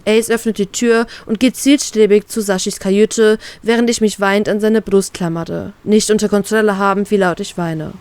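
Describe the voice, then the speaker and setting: A woman reading her own fan fiction aloud in an expressive, emotional way. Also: medium pitch, moderate pace, hardly any pauses, clean tone. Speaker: fan fiction author and reader.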